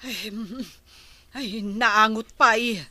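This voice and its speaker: Radio comedy complainer, disgusted village woman, slightly high, forceful tone. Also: steady tone, very slow, dry recording, exaggerated voice. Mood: puzzled, disgusted. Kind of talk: Ilocano radio comedy drama acting.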